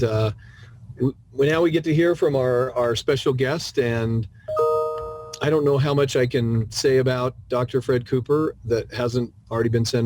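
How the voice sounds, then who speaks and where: A man's voice: mid-range voice, a little mellow, a little hiss or hum. A man hosting an online company meeting informally, in a complimentary and joking manner.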